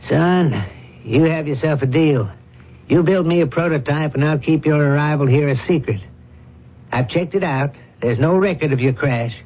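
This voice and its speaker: An actor playing a commanding, deal-making millionaire father in a radio drama, with a hearty, brisk, bossy manner. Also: fairly high pitch, natural voice. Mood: shrewd. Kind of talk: old radio drama acting. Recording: muffled, noisy old radio drama recording.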